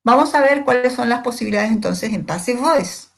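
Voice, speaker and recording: A woman who is a native Spanish speaker, explaining grammar as a language teacher in a deliberate, methodical, didactic manner. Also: fairly high pitch, expressive, creaky. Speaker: English teacher.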